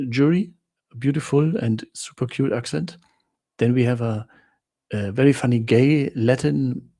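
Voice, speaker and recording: A German man with a German accent rambles through a casual live chat. He talks slowly and haltingly, with many restarts. His voice is gravelly and a little husky. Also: mid-range voice, dark tone. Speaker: livestream host answering viewer questions.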